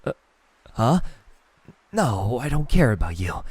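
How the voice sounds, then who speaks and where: A man's voice: medium pitch, sing-song, slow, darkish tone, breathy edge, no room sound, heavily acted. A man voicing a shy, defensive boy who talks in a hesitant, dismissive way. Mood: flustered, defensive.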